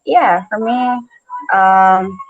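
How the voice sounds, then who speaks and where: A woman's voice: mid-range voice, very slow, soft background music. A Brazilian woman with a Portuguese accent, an English teacher chatting on a video call, hesitant and often repeating words. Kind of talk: casual video-call conversation. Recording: dull lo-fi video call audio.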